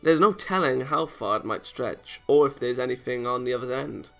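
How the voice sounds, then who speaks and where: A man's voice: medium pitch, moderate pace, gravelly, soft background music. A man reading a visual novel aloud in a theatrical storytelling manner, occasionally stumbling over the text. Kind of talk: expressive read-aloud narration of a game.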